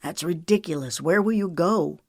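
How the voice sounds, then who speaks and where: A woman's voice: on the low side, normal speed, darkish tone, no room sound, studio quality. A woman voicing a weary, stubborn husband who talks in a curt, clipped way.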